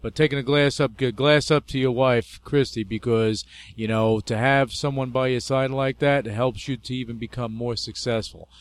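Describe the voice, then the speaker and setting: A man's voice: medium pitch, steady tone, moderate pace. A man hosting an interview, chatty and enthusiastic, laughing often. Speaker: interview host. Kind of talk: casual interview talk.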